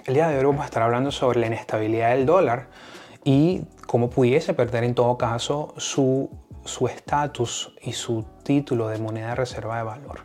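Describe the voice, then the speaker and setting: A man's voice: mid-range voice, moderate pace, darkish tone, soft background music. A man with a Venezuelan accent hosting an explanatory podcast in a partly scripted monologue. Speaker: podcast host.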